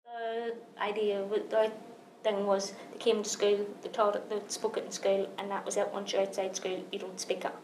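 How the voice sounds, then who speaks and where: A woman's voice: mid-range voice, level tone, normal speed, darkish tone. A woman speaking plainly in an interview about her community's language, in a matter-of-fact way. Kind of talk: documentary interview. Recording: echoey room with background noise.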